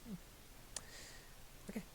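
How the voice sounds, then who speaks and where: A man narrating a casual screen-recorded software tutorial, halting and thinking aloud as he goes. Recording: hissy home recording with clicks.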